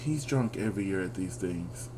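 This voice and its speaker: A man's voice: low voice, animated, moderate pace, warm tone, a little hiss or hum. A man from Texas with a Southern accent, co-hosting a casual review chat in a laid-back, chuckling way. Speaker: podcast co-host.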